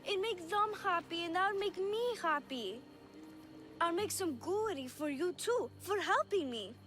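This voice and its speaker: High-voiced innocent little girl. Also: animated, moderate pace, fairly bright tone, soft background music. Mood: earnest, sweet. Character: innocent, lonely little girl, earnest and playful. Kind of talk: video game cutscene acting.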